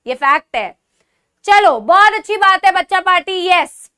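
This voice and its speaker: A woman's voice: very high-pitched, lively intonation, measured pace, bright, forceful tone. A woman teaching a live online biology class with a sore throat, speaking Hindi mixed with English, calling her students beta and checking in with "theek hai?" after nearly every point. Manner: mixes English phrases into Hindi speech.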